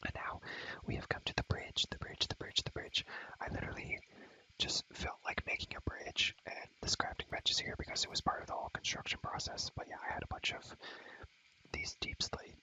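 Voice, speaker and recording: A man's voice: brisk, bright, forceful tone, no room sound, rough recording. A man whispering breathily in a casual ASMR style as he shows a game, rambling and repeating phrases over and over. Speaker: ASMR content creator.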